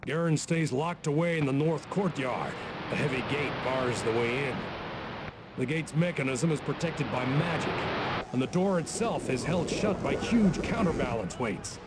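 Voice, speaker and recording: Guy playing game ally doing a mission briefing, mid voice, formal n steady, noisy. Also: medium pitch, normal speed, close to an everyday voice. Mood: businesslike. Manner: formal, instructive. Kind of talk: video game briefing dialogue.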